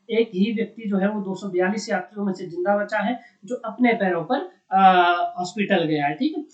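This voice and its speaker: Explainer voice with dull lo-fi sound. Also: mid-range voice. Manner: didactic, repeats points, frequent check-in tags. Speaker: explainer, educator. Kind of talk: informal explainer lesson on current news.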